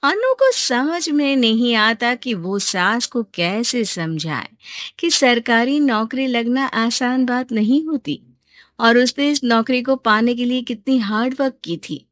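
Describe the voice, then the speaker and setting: A woman's voice: on the high side, fairly bright tone, dry recording. A woman narrating a scripted moral story as storyteller.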